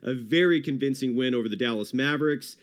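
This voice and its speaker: A man's voice: mid-range voice, fast talker. A man hosting a sports podcast in casual, upbeat chat, hyping his guest and calling him brother. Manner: hyped, chummy, calls guest brother. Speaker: podcast host.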